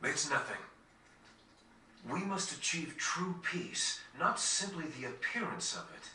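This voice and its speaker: A man playing an earnest, formal noble leader with a troubled, measured delivery in a video game cutscene. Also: on the high side, animated, bright, forceful tone, exaggerated voice. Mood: conflicted, earnest. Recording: roomy, distant game capture with faint noise.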